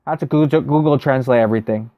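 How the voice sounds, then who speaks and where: A man's voice: medium pitch, rapid-fire, no echo, dull audio. A man, chatting casually as a livestream host, thinking aloud and answering viewers. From United States. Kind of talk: casual livestream gameplay chat.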